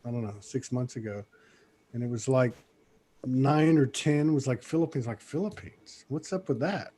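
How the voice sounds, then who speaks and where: A man hosting a casual talk show, his voice sitting at an ordinary middle pitch. He speaks in a loose, rambling way, stopping often on "uh" and "you know" while he chats warmly with guests. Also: moderate pace, slightly rough. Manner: relaxed, rambling with many fillers. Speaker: podcast host. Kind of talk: casual interview chat.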